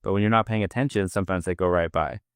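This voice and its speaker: Guy interviewing, low smooth voice, hyped and encouraging. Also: fast talker, no echo, clean studio sound. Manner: upbeat, encouraging, enthusiastic. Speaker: interviewer, host.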